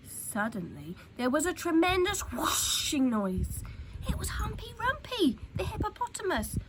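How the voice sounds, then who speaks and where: Animated, high-voiced woman reading a storybook. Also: a little slow. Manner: animated, dramatic, hushes for suspense. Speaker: storytime reader. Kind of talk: expressive children's storybook narration. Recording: clear background noise.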